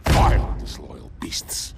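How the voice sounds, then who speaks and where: An actor voicing a proud, bitter alien warrior commander with a gravelly voice in a game cinematic. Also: male voice, slow, natural voice. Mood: disgusted. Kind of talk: video game cinematic acting.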